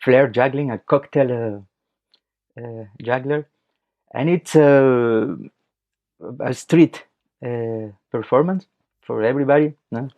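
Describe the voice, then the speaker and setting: Man with a Chilean accent, lo-fi sound. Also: medium pitch. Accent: Chilean Spanish. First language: Spanish. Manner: halting, searching for words. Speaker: podcast guest, circus clown and comic actor. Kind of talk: casual interview talk. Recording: dull lo-fi audio.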